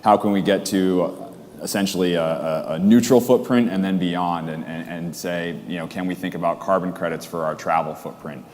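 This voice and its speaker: A man's voice: low-pitched, steady tone, normal speed, darkish tone, a little hiss or hum. A man speaking casually on a conference panel, with fillers and restarts. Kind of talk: panel discussion. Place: stage at a conference hall. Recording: reverberant hall, faint ambience.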